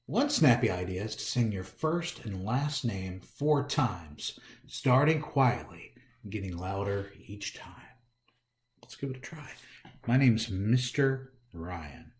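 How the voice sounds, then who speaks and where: An American man reads a picture book to young children in a roomy, echoing space. His delivery is theatrical, with pitch that swings widely. He takes his time and speaks straight to his listeners as a storytime host. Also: slow pace. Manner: animated, theatrical, talks directly to young listeners. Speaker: library storytime host reading aloud. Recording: roomy, echoey indoor sound.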